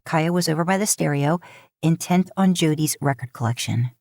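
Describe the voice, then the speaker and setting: A woman narrating a story from a script in an expressive reading style. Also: low voice, moderate pace, no echo, studio quality. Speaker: podfic narrator, podcast host.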